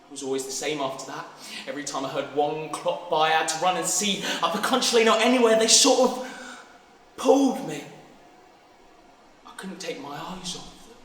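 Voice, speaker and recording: A teenage male student actor with a British accent playing a troubled, intense boy obsessed with horses in a dramatic stage monologue, halting and swinging between hushed and explosive. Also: a little high, lightly acted. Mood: awed, hushed. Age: teens, about 16. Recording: very echoey hall, distant mic, faint noise.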